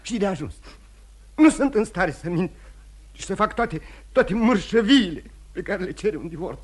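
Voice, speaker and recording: An actor in a radio play voicing a despairing, self-loathing man who is tender to his lover and speaks haltingly, repeating his words. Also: sits high, animated. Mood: earnest, weary. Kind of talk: old radio theatre acting.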